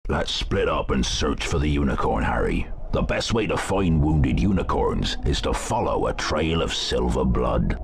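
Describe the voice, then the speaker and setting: A man playing a huge, kindly, gruff giant gamekeeper with a West Country accent in a video game, giving folksy cautions. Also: extremely low pitch, lively intonation, measured pace, over music, heavily acted. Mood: cautioning, kindly. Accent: English West Country. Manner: plain-spoken, cautioning, folksy. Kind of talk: video game character dialogue.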